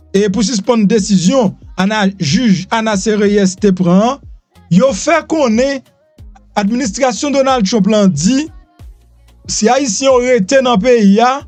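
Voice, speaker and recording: A man's voice: extremely high pitch, darkish tone, no echo. A Haitian man hosting a live news show, commenting casually and mockingly while mixing Creole, French and English. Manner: switches between Creole, French and English, repeats phrases, teasing.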